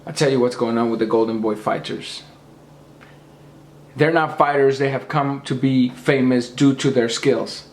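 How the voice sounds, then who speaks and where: A man's voice: mid-range voice, steady tone, moderate pace, reverberant, a little hiss or hum. A man losing his voice, ranting emphatically about boxing and lecturing his viewers. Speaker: boxing commentator. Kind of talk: opinionated rant to camera.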